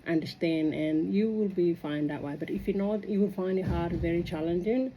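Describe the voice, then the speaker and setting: A woman with a fairly low, soft-edged mellow voice speaks English as a second language. She talks casually and reflectively about her own experience, earnest and halting, often circling back as she rambles. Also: slight background noise, low-quality recording. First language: non-native English speaker. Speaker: migrant sharing personal experience.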